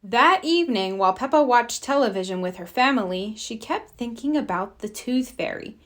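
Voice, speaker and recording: A woman reading a children's storybook aloud in an expressive, deliberate storytelling style. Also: fairly high pitch. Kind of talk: read-aloud scripted narration.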